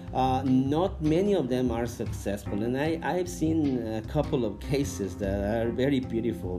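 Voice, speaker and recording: A man whose first language is Spanish, an English teacher and coordinator, talking to camera, thoughtful and full of "uh" pauses. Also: mid-range voice. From Mexico. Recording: guitar music playing under the voice. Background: guitar music.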